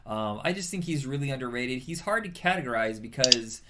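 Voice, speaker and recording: A man's voice: medium pitch, animated, a little fast, very noisy. A man co-hosting a casual music podcast, talking keenly and knowledgeably about an artist. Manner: enthusiastic, informative, music-fan talk. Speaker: podcast co-host. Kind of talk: casual chat.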